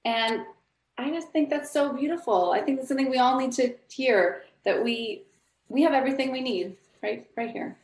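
A woman's voice: high-pitched, normal speed, echoey room. A woman guest on a podcast, a musician, chatting in a friendly, easygoing way. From Los Angeles. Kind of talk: casual interview chat.

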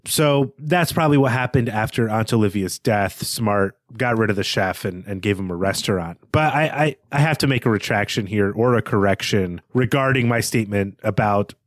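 A man's voice: on the low side, moderate pace, few pauses, no echo. Bookish man in a dry, close recording. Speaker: podcast co-host. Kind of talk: literary analysis discussion between co-hosts.

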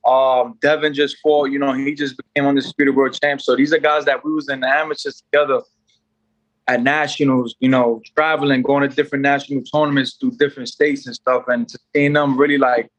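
A man's voice: medium pitch, flat delivery, fairly quick, rough recording. A man in his twenties from New York, a boxer guest talking in a casual, rambling way with many fillers and restarts. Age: 20s. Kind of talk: casual interview chat.

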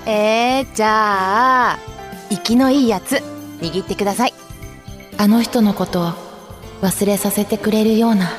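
A woman's voice: mid-range voice, unhurried, pauses often, soft background music, natural voice. A woman playing a lonely, wistful young regular at a sushi bar in a comic radio drama.

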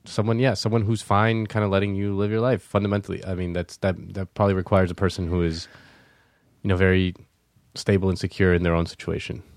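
Deep-voiced man, rambling and hesitant. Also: little pitch movement, fairly quick, no echo, clean studio sound. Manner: rambling, hesitant, many fillers and restarts. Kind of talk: casual podcast chat.

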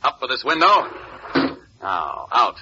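An actor playing a heroic, commanding superhero in a 1940s radio serial. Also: male voice, on the low side, huge pitch swings, measured pace, gravelly, heavy background noise, heavily acted. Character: heroic, commanding male superhero in his prime. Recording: dull lo-fi old radio recording.

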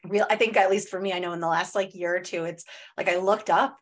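A woman's voice: lowish voice, steady tone. A woman in her thirties hosting a podcast, talking in a casual, chatty way full of "like" fillers. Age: 30s. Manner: chatty, lots of "like" fillers, quick bursts. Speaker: podcast host. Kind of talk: casual chat.

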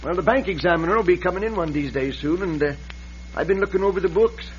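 An actor playing a nervous, deferential bank cashier with a folksy, hesitant manner in an old-time radio western. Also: male voice, high-pitched, fast, dull audio, natural voice. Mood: uneasy, earnest. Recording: hissy, band-limited old radio broadcast.

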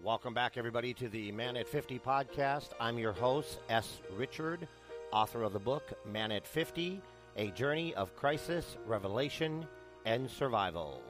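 A man's voice: mid-range voice, animated, normal speed, music in the background. A man in his fifties hosting a podcast, hesitant with many ums and uhs. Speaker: podcast host.

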